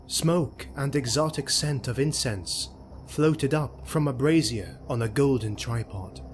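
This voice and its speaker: A man narrating a grim sword-and-sorcery audio drama as storyteller, in a measured, theatrical, solemn delivery. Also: mid-range voice, slight background noise, lightly acted.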